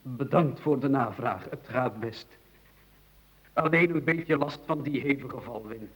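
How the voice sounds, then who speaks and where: A man's voice: medium pitch, measured pace, a bit bright, long reverb tail, close to an everyday voice, low-quality recording. An actor playing an overly formal, polite man trying to make contact in a radio play. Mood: stiffly polite. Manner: stiff, ceremonious courtesy.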